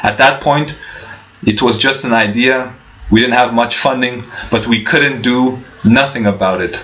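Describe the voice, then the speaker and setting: A man's voice: medium pitch, unhurried, a bit bright. A young man giving a prepared keynote speech, earnest, with rhetorical questions and frequent restarts. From Canada. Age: young adult. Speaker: keynote speaker. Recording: dull lo-fi livestream audio.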